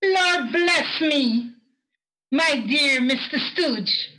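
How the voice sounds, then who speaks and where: Woman as amazed charity collector lady, very high, bright, swooping, very slow. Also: lively intonation, heavily acted, low-quality recording. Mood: astonished. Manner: gasping, drawn-out exclamations. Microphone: video call.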